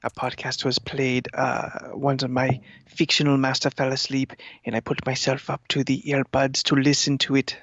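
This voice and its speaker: A vain talking cat plotting world domination , acted out by a performer for a comedy podcast. It speaks in a flat, halting, meticulous monotone full of pomposity. The audio is dull and muffled. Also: medium pitch, dry recording, close to an everyday voice. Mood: pompous, offended. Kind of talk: improvised comedy podcast interview in character.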